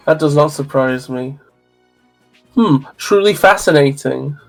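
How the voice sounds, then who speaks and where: Welsh man chatting over a game, slightly high. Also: a little slow, creaky, faint music behind, rough recording. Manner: chatty, stammering restarts, musing aloud. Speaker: streamer. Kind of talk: casual chat while gaming.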